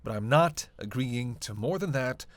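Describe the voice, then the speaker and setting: A man's voice: medium pitch, animated, moderate pace, no room sound, close to an everyday voice. Man acting a sardonic, grumbling vampire. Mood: frustrated, urgent. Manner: sarcastic, grumbling, swearing. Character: sardonic, reluctant male vampire, hungry, cursing, teasing. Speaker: voice actor in audio roleplay. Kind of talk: solo audio roleplay addressed to the listener.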